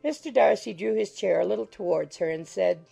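A woman narrating a classic novel as an audiobook in a measured, formal storytelling manner. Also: mid-range voice, gravelly, mellow, slightly rough, soft background music. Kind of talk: scripted literary narration.

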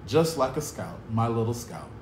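A doting mother, soft and fond, her voice lifted high as a male reader puts her on. The sound rings in a bare echoing room with faint hum underneath. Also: animated, moderate pace, lightly acted. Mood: tender. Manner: soft, affectionate. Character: tender mother fussing over her son.

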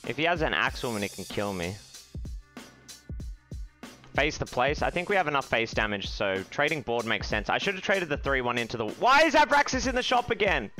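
A young man streaming a card game from a small room, swearing freely and reacting loudly to each play. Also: fairly high pitch. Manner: profane, excitable, shouting when upset. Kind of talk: live game stream commentary.

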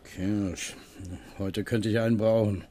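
A man's voice: on the low side, little pitch movement, takes it slowly, dark tone. An actor in a radio play playing a kindly, grumbling elderly man who talks matter-of-factly.

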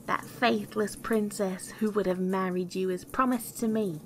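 A woman's voice: mid-range voice, steady tone, a little slow, a little hiss or hum, lightly acted, rough recording. A woman voicing a small, ugly, jealous male dwarf in a storybook, defiant and haughty. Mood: defiant, enraged.

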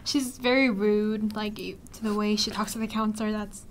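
A teenage young woman, a student actor, chats casually in a panel interview, halting and leaning on "like". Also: medium pitch, steady tone. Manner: halting, frequent "like". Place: indoor panel, seated group. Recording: faint background ambience.

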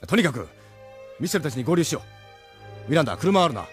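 An actor playing a steady, fatherly captain in an audio drama, blunt and reassuring. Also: a little high, fast, slightly rough, faint music behind, exaggerated voice. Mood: reassuring, grim. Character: steady, fatherly male captain of a resistance crew. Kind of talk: Japanese audio drama acting.

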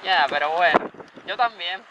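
A man's voice: high voice, moderate pace, highs cut off. A man casually putting questions to a friend as an informal, teasing interviewer outdoors. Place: outdoors by the sea, windy. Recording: wind-battered outdoor recording, distant mic.

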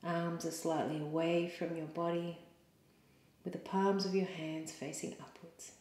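A woman yoga teacher leading a guided meditation in a calm, soothing, measured way with pauses. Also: on the low side. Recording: roomy, echoing sound.

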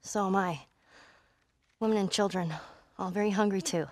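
A woman's voice: mid-range voice, steady tone, normal speed, dry recording, natural voice. A woman playing a wary, tough American teenage girl survivor in a video game, talking in a curt, guarded way. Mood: defiant, wary. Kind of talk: naturalistic video game acting.

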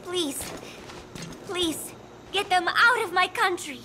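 Fragile young woman, high soft voice, hesitant, gentle and confused, video game drama, noisy recording. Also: lively intonation, takes it slowly, full character voice. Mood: pained, pleading. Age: young adult.

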